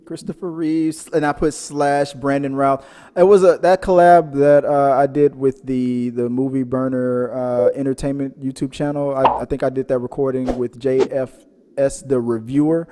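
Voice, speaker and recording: A man hosting a casual pop-culture show, telling stories in a rambling, animated way. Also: mid-range voice, normal speed, a little hiss or hum. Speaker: podcast host. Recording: reverberant, echoing room sound.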